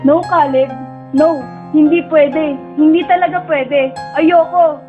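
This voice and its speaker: A woman's voice: sits high, steady tone, takes it slowly, phone-quality sound, soft background music. A woman with a Filipino accent playing an emotional, pleading young woman who narrates and speaks in a tender, heartbroken radio drama. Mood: distraught. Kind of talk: dramatic first-person narration and dialogue.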